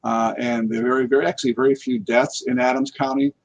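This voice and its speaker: A man's voice: mid-range voice, steady tone, quick pace, creaky, highs cut off. A man in casual conversation as a guest paranormal investigator on a live talk show, rambling and repeating words as he goes.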